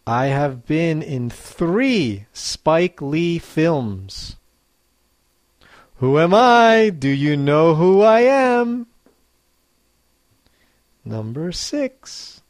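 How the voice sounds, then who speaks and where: Very slow, deliberate quiz reader. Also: medium pitch, sing-song, no echo, clean studio sound. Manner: reads each clue twice, slowly and clearly. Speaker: English teacher. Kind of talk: slow quiz dictation for learners.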